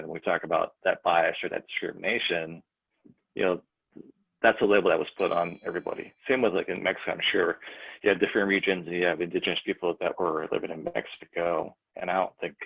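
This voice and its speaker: A man's voice: sits low, gravelly, husky. A man, a church member, talking thoughtfully in a rambling way with restarts, as a guest on a video call. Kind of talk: casual chat. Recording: tinny phone-like call audio.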